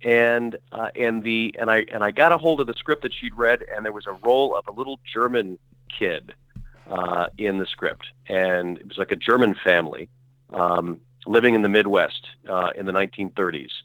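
A middle-aged man recalls his career in loose, meandering sentences, circling back and restarting as he goes. His voice sits a little low but rings bright and forceful. The sound is dry and close, with no room around it. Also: normal speed, no echo, poor audio. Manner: rambling, self-correcting, with frequent fillers. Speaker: actor guest. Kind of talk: relaxed conversational storytelling.

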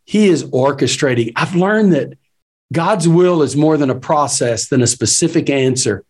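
A man's voice: a little high, lively intonation, moderate pace, breathy edge. A man hosting a faith interview, talking in a casual, folksy, emphatic way. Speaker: interviewer, preacher. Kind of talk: faith talk show interview.

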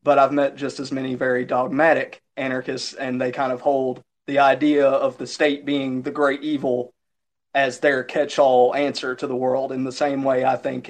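A man with a Southern American accent, speaking as a guest in a casual podcast conversation. His voice has a mid, ordinary pitch, and he talks in a well-spoken, reflective way. He often stops and restarts his sentences while thinking aloud. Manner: articulate, thoughtful, with halting restarts.

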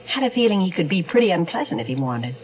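Lively, frank city neighbour woman. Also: on the low side, fast talker. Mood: frank, guarded. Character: guarded, frank New York apartment neighbour woman. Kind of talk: 1970s radio drama acting. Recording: dull 1970s radio recording.

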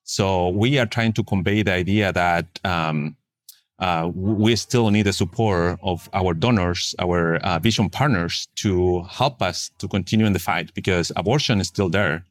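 Man, Chilean Spanish accent, very low voice, hesitant, lots of fillers, interview answers. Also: normal speed, no echo. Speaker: pro-life nonprofit fundraiser.

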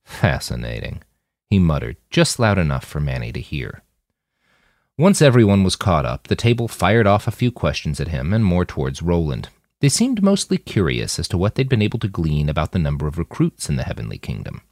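A low-pitched man reads fiction as a podcast narrator. His storytelling swings widely in pitch and is full of expression. The sound is dry, with very little room in it. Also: wildly swooping pitch, fairly quick, a little mellow, no room sound.

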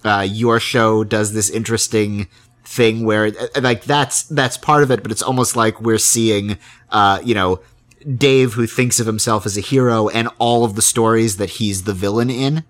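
A man's voice: fairly low pitch, steady tone, moderate pace. A man hosting a casual interview, speaking in an informal, slightly hesitant and thoughtful way. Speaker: podcast host, interviewer.

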